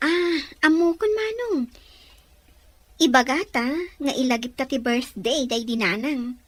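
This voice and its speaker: A woman playing a lively, scheming younger sister in an Ilocano audio drama, playful, with an Ilocano accent. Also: high-pitched, unhurried, no room sound.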